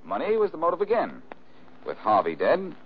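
An American actor playing a cool, sardonic amateur detective in a 1940s radio mystery, glib and self-assured, on an old recording. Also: male voice, fairly high pitch, huge pitch swings, normal speed, husky, exaggerated voice. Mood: confident, sardonic. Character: wisecracking, cool-headed amateur detective man, quick and sardonic. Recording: dull, hissy old-time radio recording.

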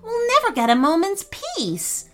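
A woman voicing an excitable, easily alarmed three-year-old boy in a children's story, with a wide-eyed, exclaiming manner. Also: very high voice, measured pace, faint music behind, voice pushed up, full character voice. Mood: worried.

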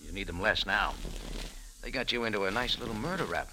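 An actor playing a tough, wry American bar owner in a clipped, sardonic 1940s radio drama style. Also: male voice, lowish voice, animated, brisk, background noise, close to an everyday voice. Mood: dry, confident. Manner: clipped, hard-boiled, sardonic. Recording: vintage radio recording with music.

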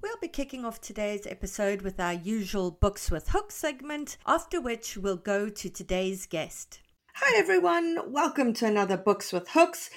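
Excited lady hosting a writing podcast, bouncy, voice all over the place. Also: mid-range voice, normal speed. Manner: enthusiastic and bubbly. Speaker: podcast host. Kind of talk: upbeat show hosting.

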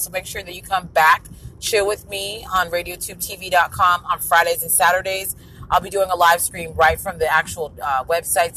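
A woman with a raspy voice hosting an online radio show, giving frank, chatty opinions on the news. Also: lowish voice, pushed and bright, a little hiss or hum, poor audio. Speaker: internet radio host. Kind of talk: casual talk-radio commentary.

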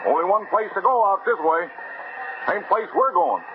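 An actor playing a wry, laconic small-town driver in an old radio drama, with deadpan rustic delivery. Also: male voice, high-pitched, normal speed. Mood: wary, ominous. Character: folksy small-town driver, wry, ominous and dryly joking. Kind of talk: 1950s radio drama acting. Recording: tinny, noisy old radio recording.

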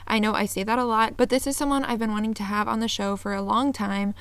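A woman's voice: medium pitch, fairly even intonation, quick pace, clear, resonant tone, no room sound. A woman hosting a podcast, earnest and upbeat, reading her intro and then chatting with a guest. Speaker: podcast host. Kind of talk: scripted intro then casual interview.

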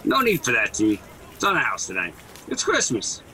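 An actor playing a large, genial older coffee cart vendor in an audio drama, jovial and familiar. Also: male voice, mid-range voice, wildly swooping pitch, moderate pace, sharp tone, a little hiss or hum, full character voice, poor audio. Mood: generous, jolly.

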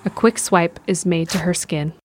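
A woman reading scripted narration for an audio drama in a measured, descriptive way. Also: lowish voice, noisy background.

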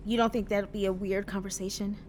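An actress playing a naive, earnest and oddly frank young person in an audio drama, guileless and matter-of-fact. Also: female voice, medium pitch, level tone, fairly quick, darkish tone, slight background noise, close to an everyday voice. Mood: cheerfully frank.

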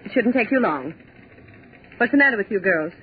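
A woman's voice: mid-range voice, expressive, fairly quick, close to an everyday voice. An actress playing a prim, stern woman office supervisor, formal, clipped and authoritative, in 1940s radio drama style. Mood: brisk, businesslike. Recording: tinny, noisy 1940s radio recording.

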